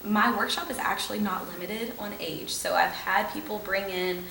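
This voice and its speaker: A woman's voice: medium pitch, fairly even intonation, normal speed, gravelly, bright, forceful tone, distant mic, a little hiss or hum. A woman, a dance instructor, chatting casually on a podcast with emphatic, enthusiastic affirmations. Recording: echoey room, voice a bit off-mic, faint ambience.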